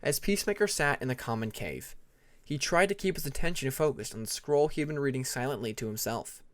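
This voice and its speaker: A man narrating fiction dramatically as an audiobook reader, with deliberate, measured phrasing. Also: mid-range voice, expressive, no echo.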